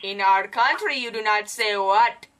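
A man's voice: high voice, measured pace, pushed and bright. A young man playing an indignant, bossy foreign man with a curt, stilted way of scolding. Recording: noisy home camcorder recording.

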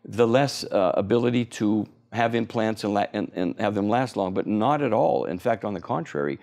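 An older man in his sixties speaks with a gravelly, creaky texture. He explains things eagerly, with plenty of fillers and restarts, as a guest in a television studio interview. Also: fairly low pitch, lively intonation, normal speed, a little mellow. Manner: enthusiastic, explanatory, frequent fillers. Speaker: oral surgeon guest.

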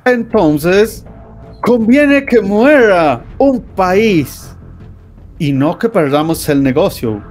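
A man's voice: sits high, lively intonation, extremely slow, a little mellow, soft background music, exaggerated voice. A man playing a scornful British banker. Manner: lecturing, scornful. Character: arrogant British banker, contemptuous and cold. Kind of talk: amateur radio drama.

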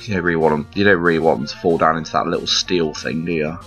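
A man casually commentating on a puzzle game as he plays, rambling and poking fun at himself, his voice croaky today. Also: a bass voice, steady tone, fast, fairly bright tone. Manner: rambling, self-deprecating, chuckles often. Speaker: gameplay commentator. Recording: slightly dull lo-fi recording with game music behind.

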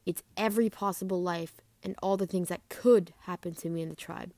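A woman's voice: lowish voice, fairly even intonation, brisk, mellow, no room sound, natural voice. An earnest young female dragon seer, played by a woman, explains herself with insistence. The recording is dry and the delivery suits a fantasy cartoon. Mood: earnest, insistent.

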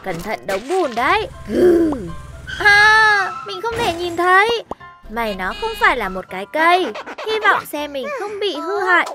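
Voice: extremely high pitch, big pitch swings, a little slow, music in the background, full character voice. A performer voicing a playful, eager young lion cub in a children's cartoon dub, with simple exclaiming lines.

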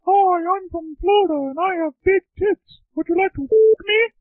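A man voicing mocked women in falsetto for a comic monologue, through thin telephone-like sound. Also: normal speed, dry recording. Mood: flirtatious. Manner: mocking falsetto. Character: squeaky-voiced flirtatious, bossy women, quoted mockingly.

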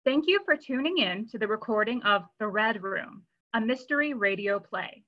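A woman's voice: on the high side, lively intonation, normal speed. Woman presenter on a dull, lo-fi recording. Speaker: theater education director, presenter. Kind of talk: scripted welcome introduction.

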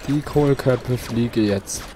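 A man's voice: mid-range voice, slow, music in the background. A young man casually chatting and muttering as he plays through a video game. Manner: chatty, easygoing, mutters while playing. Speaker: let's player. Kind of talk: casual gameplay commentary.

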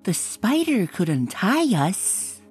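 A woman voicing a shy, timid young female pony who speaks softly and hesitantly. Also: mid-range voice, very animated, slow pace, faint music behind, voice pushed up, heavily acted. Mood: gentle. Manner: shy, hesitant, soft and breathy.

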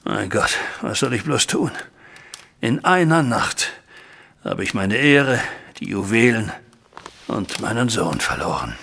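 A man's voice: fairly low pitch, very animated, slow, gravelly, fairly bright tone, breathy edge, full character voice. An actor playing an anguished middle-aged father in an audio drama, speaking in a formal, agitated and dramatic way. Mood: despairing. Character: distraught, anguished banker father recounting a family scandal. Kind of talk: German audio drama acting. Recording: studio audio drama with music underneath.

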